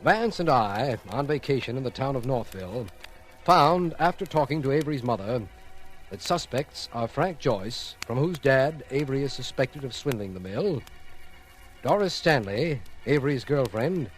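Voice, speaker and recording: An affable district attorney from an old radio mystery, acted by a man. His medium voice is very animated, with expository delivery and some music around it. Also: mid-range voice, moderate pace, natural voice, rough recording. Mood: brisk, expository. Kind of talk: old-time radio narration and acting.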